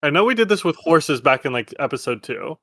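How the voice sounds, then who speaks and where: A man's voice: on the high side, sing-song, fairly quick, a bit bright, dry recording. A man acting as game master, narrating a tabletop game in a casual style.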